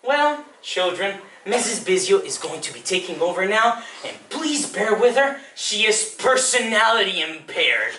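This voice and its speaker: A man's voice: sits high, big pitch swings, measured pace, hardly any pauses, bright, forceful tone, pitched above the performer's own voice, exaggerated voice. A man playing a flamboyant, chirpy children's librarian with a defiant streak, talking sing-song to kids in a theatrical stage monologue. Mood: mock-sweet, defiant. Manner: sing-song, exaggeratedly cheery, addressing small children. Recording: distant mic, roomy echo, faint noise.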